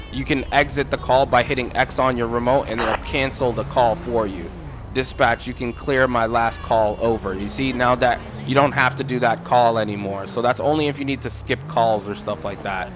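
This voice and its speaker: A man's voice: medium pitch, fairly even intonation, normal speed. Casual man giving a gaming tutorial, noisy background. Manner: informal, instructive, addresses viewers as guys. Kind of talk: casual walkthrough of game mods.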